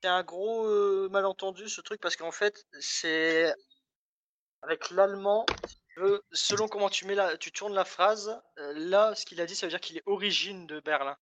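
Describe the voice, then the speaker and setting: Very high-pitched young male voice. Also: moderate pace, fairly bright tone, slight background noise. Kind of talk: casual group voice chat.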